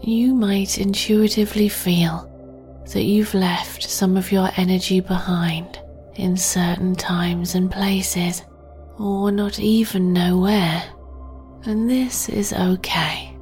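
A woman guiding a sleep meditation in a soft, breathy near-whisper, gently and with long pauses. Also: medium pitch, fairly even intonation. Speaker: meditation guide and podcast host. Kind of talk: guided sleep meditation, soothing narration. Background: meditation music.

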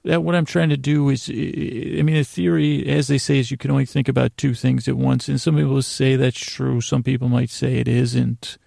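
Man, gravelly fry, dry close sound, meandering sleep podcast host, halting, lighthearted, absurd tangents. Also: mid-range voice, little pitch movement, warm tone, dry recording. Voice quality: very creaky, gravelly. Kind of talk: rambling bedtime monologue, meant to lull listeners to sleep.

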